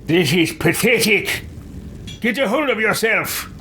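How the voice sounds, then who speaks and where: A man plays a fed-up commander from a fantasy game roleplay. His contemptuous lines come slowly and swing widely in pitch. There is some noise behind him. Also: animated, pushed and bright, full character voice. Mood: scornful. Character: fed-up, scornful male commander of a fantasy order.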